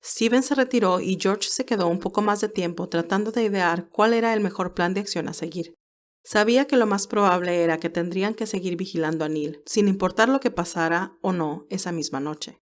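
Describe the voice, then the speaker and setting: A woman reading scripted narration for a romantic audio story as its narrator. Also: mid-range voice.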